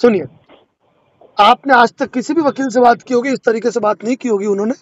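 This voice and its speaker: A man's voice: very high voice, brisk. A man speaking as a lawyer on a phone call, explaining a loan matter persuasively and insistently. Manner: persuasive, insistent, repeatedly asking to be heard. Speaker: lawyer advising on a loan default. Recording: dull lo-fi phone call recording.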